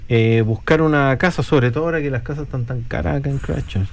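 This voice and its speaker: A man hosting a radio show in a studio, chatting in a relaxed, rambling way with many fillers. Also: medium pitch, fast talker, slight background noise. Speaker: radio host. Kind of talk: casual chat.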